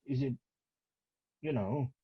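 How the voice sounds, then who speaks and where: Husky, slow-talking old man, read by a man. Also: medium pitch, no room sound. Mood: dry, understated. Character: weary, defiant old man, retired actor. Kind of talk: amateur script table read. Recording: muffled, dry, lo-fi.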